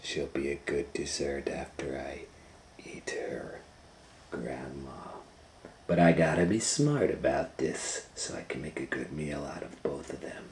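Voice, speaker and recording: A man voicing a sly, hungry old storybook wolf who mutters slowly in falsely sweet half-whispers. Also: extremely low pitch, a little mellow, echoey room, a little hiss or hum, voice pushed down. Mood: greedy, scheming.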